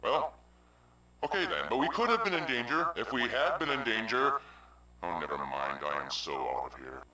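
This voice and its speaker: An actor playing a pompous but sheepish cube leader in a comic video game cutscene. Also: male voice, big pitch swings, normal speed, sharp tone, recorded from a distance, rough recording. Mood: apologetic, flustered. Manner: grandiose booming that collapses into sheepish rambling.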